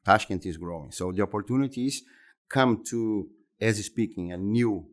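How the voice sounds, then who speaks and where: A man in his fifties with a Brazilian Portuguese accent, answering interview questions in English that is not his first language. His voice sits fairly low, and he talks haltingly, repeating words and restarting phrases as he goes. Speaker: interview guest, exhibition center executive.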